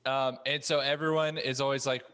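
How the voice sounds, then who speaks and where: A man's voice: medium pitch, level tone, a little fast, a bit bright, long reverb tail. A man hosting a casual podcast roundtable chat.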